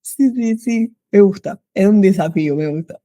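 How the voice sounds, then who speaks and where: A woman in her thirties from France, a French teacher who speaks Spanish with an Argentine accent in a casual chat, lively and laughing often. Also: medium pitch, dry recording, clean studio sound. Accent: Argentine Spanish with a French background. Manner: lively, laughs often, quick bursts of speech.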